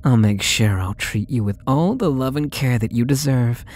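A man's voice: medium pitch, lively intonation, darkish tone, natural voice. A young man playing a possessive, sweetly menacing male stalker in an ASMR roleplay audio drama, with a taunting, coaxing manner. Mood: tender, possessive. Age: young adult. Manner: slow, taunting, coaxing delivery.

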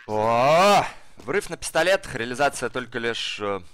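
A hoarse man commentating a live online game match in a casual, slangy way, speeding up during the action. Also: medium pitch, animated, a little slow, fairly bright tone, slight background noise. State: hoarse from the cold. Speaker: esports commentator. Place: cold flat at home.

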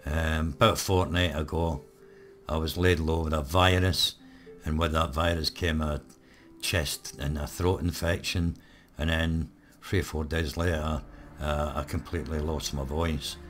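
Hoarse deep-voiced gamer. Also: male voice, a little slow, soft background music. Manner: relaxed, rambling, many ums. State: hoarse, croaky. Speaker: gaming channel host. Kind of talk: live let's play commentary.

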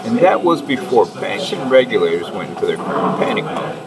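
A middle-aged man giving rambling, halting commentary as he reads out an article, sardonic and mock-preachy. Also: mid-range voice, sing-song, a loud crowd. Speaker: online commentator. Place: indoor room, seen on webcam. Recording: distant mic under loud music.

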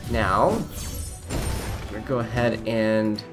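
A man's voice: fairly low pitch, steady tone, takes it slowly. A man giving casual, upbeat commentary on a video game, reacting aloud as he plays. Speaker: gaming content creator. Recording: game music behind voice. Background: video game music.

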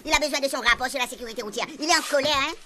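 A woman playing a chatty, brisk police station secretary in a comic radio soap. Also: sits high, background noise, close to an everyday voice. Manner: chatty, expressive, familiar.

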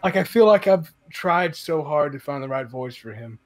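A man speaks casually in a slightly high voice. He is earnest and self-effacing, and his phrases come haltingly as he reflects. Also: expressive. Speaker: voice actor. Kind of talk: casual personal anecdote.

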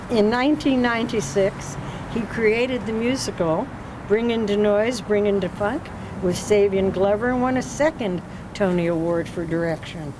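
A woman's voice: mid-range voice, unhurried. An older woman hosting a ceremony, reading formal introductions from notes at an outdoor podium with small slips. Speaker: ceremony host. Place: outdoors on a city street by a doorway. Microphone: podium mic. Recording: outdoor street noise.

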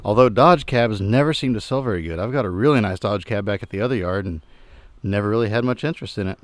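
A man in his thirties giving a relaxed, plain-spoken walkthrough of his junkyard, often trailing off quietly at the end of a remark. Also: lowish voice, fast talker, dry recording. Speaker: junkyard owner and channel host. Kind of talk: casual vlog walkthrough. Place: outdoor junkyard and inside a pickup truck.

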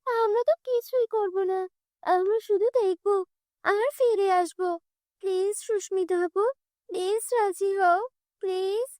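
A woman's voice: very high voice, fairly even intonation, a little slow, warm tone, dry recording, clean studio sound, pitched above the performer's own voice. A woman voicing an eager little orphan girl with a pleading, coaxing manner in a cartoon story.

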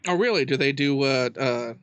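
A man's voice: mid-range voice, animated, normal speed, fairly bright tone, clear, resonant tone, no echo. A man chatting casually as an interviewer, bantering with dry, wry humor.

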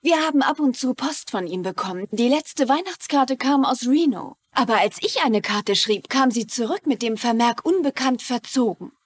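An actress playing a placating, chatty wife in a dubbed crime drama. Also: female voice, a little high, lively intonation, moderate pace, few pauses, slightly rough, no room sound. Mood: helpful, explanatory. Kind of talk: German dubbed TV crime series acting.

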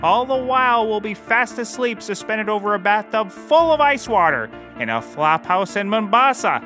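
Man playing a high-pitched, hammy bumbling private eye. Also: expressive, moderate pace, sharp tone, faint music behind. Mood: grandiose. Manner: hammy, rambling, theatrical delivery. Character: bumbling, cocky, cheap male private detective. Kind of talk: scripted audio comedy, noir detective parody.

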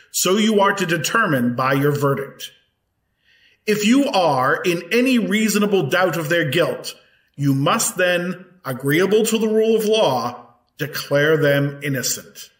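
A solemn colonial judge, played by a man, addresses a jury in a historical trial reenactment. He speaks formally and gravely in a fairly high voice, a little distant from the mic in a roomy, echoing space. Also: a bit bright. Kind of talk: formal courtroom oratory, reenactment. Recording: echoey room, slightly distant mic.

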